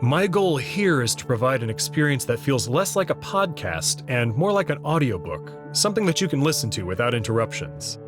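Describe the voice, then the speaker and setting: A man's voice: medium pitch, very animated, a little fast, few pauses, music in the background. A man giving a scripted introduction as the host of a game playthrough series. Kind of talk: scripted narration, channel introduction.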